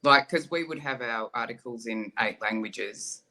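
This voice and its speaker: A man speaking as a guest on a video call, working through his ideas out loud with plenty of ums. His tone is bright and forceful, and his pitch sits in a middle range. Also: moderate pace, rough recording. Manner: thinks aloud, frequent ums. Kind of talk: casual conversation.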